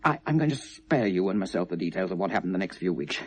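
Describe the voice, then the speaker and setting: An actor playing a tormented, obsessive lovelorn British man confessing to police, halting and bitter, shifting from hushed to anguished in a 1970s radio drama. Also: deep voice, lively intonation, slightly rough. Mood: bitter, subdued. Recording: noisy vintage radio play recording.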